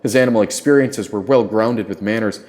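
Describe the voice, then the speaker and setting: A male narrator with a lowish voice reads a first-person tale. His delivery is dramatic, that of an author performing his own audiobook. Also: fairly quick, a little mellow.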